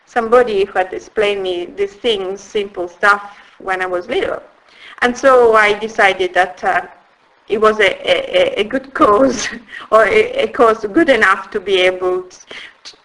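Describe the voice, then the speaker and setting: Bright-voiced Italian woman telling her story. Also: mid-range voice, rough recording. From Italy. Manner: halting, with frequent restarts and soft laughs. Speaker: interviewee. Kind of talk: personal life story in conversation.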